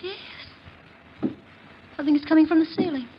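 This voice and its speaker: An actress playing an uneasy, insistent wife in a 1940s radio mystery, speaking with urgent, pointed delivery. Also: female voice, high-pitched, moderate pace, close to an everyday voice. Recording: muffled, noisy 1940s radio recording.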